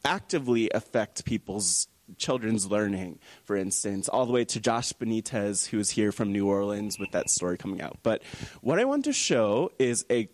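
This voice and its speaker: A man's voice: lowish voice, expressive, moderate pace, a little mellow. A man presenting informally at a live event, friendly and thinking aloud as he goes. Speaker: nonprofit storytelling director presenting.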